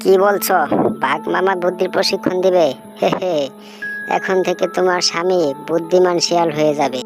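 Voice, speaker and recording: A woman's voice: on the low side, fairly even intonation, a little fast, over music, lightly acted. A woman voicing a naive, literal-minded male fox husband in a comic cartoon. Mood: delighted.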